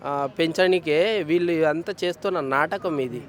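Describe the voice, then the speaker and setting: A man with a Telangana Telugu accent speaks in a slightly high voice. He gives his opinions casually and emphatically outdoors, restating each point. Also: moderate pace, crowd noise. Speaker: member of the public interviewed. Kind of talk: casual opinionated street talk. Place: outdoors on a city street.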